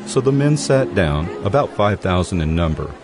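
A man's voice: deep voice, very animated, normal speed. A man reading scripture as the narrator of a dramatized production. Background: music.

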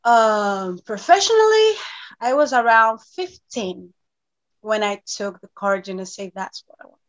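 A woman's voice: medium pitch, expressive, unhurried. A bubbly young woman from Greece chats in a casual interview. She talks expressively and laughs easily. Her voice comes through a slightly dull, lo-fi video call. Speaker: guest singer-songwriter. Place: home room, remote video call.

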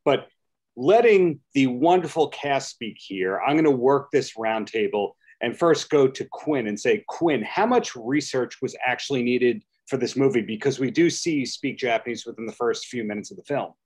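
A man's voice: medium pitch, animated, normal speed, darkish tone, breathy edge. A man hosting a roundtable interview over a video call, steering questions to each guest in turn. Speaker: podcast host.

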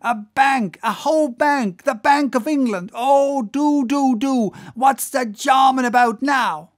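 An audiobook reader voicing an excitable, hot-headed whaling mate, frantic and begging in exclamations. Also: mid-range voice, measured pace, pitched above the performer's own voice, lightly acted. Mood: frantic, pleading. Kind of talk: animated audiobook character voice.

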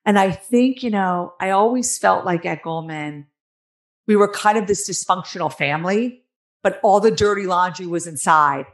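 A woman in her forties with a raspy, nasal New Jersey accent, telling her own story candidly and emphatically as an interview guest on a video call. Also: medium pitch, normal speed. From New Jersey, United States. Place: small room at home, on a video call. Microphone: headset on a video call.

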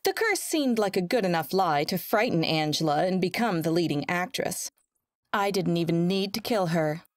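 A woman's voice: lowish voice, animated, normal speed, dry recording, lightly acted. A woman playing a scheming, jealous young actress in a video game, boastful, haughty and theatrical. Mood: gloating. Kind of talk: dramatic video game voice acting.

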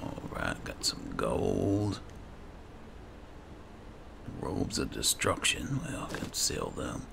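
Man, very low voice, heavy fry, casual gameplay commentary, game ambience behind. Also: sing-song, slow pace, background noise. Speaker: gameplay host.

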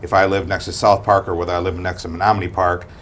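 A man's voice: deep voice, flat delivery, fast talker, fairly bright tone, a little hiss or hum. A man in his thirties co-hosting a studio talk show, blunt and opinionated as he questions a guest. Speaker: guest co-host. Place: small TV studio. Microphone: lapel mic.

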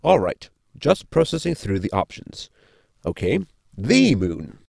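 A man's voice: on the low side, sing-song, measured pace, gravelly, darkish tone, dry recording, clean studio sound, full character voice. A man playing a polite, pedantic robot crewmate who explains things in a sci-fi comedy audio drama. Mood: chirpy, literal. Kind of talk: sitcom audio drama acting.

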